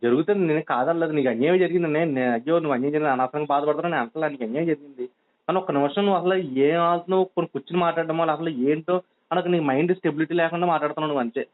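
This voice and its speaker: A man's voice: on the high side, racing. A man with a coastal Andhra Telugu accent, pleading urgently and insistently on a phone call, admonishing and repeating himself. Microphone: telephone. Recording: tinny narrowband phone call.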